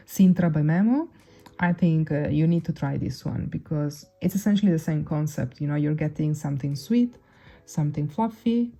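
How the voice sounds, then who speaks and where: A woman's voice: sits low, creaky, dark tone. A young woman whose first language is Italian, chatting casually to camera as a perfume reviewer, with many restarts and fillers. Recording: dull lo-fi sound.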